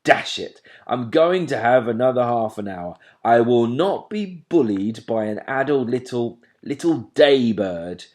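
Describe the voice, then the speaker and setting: A man voicing a sleepy, grumpy father barn owl who talks in a gruff, blustering, imperious way. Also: lowish voice, animated, slow pace, few pauses, a little mellow.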